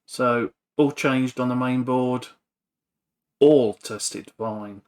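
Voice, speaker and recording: A man casually talking through a repair in a workshop, hesitant, thinking aloud with frequent pauses. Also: mid-range voice, a little mellow. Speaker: electronics hobbyist and repair vlogger. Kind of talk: casual workbench talk-through. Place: home workshop.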